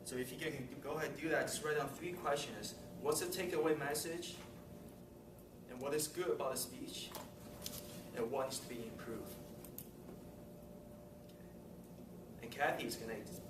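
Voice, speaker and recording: A man's voice: mid-range voice, normal speed, pauses often. A man hosting a club meeting, making chatty announcements with frequent little laughs. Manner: friendly, chatty, laughs between remarks. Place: meeting room. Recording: roomy, distant mic, loud room noise.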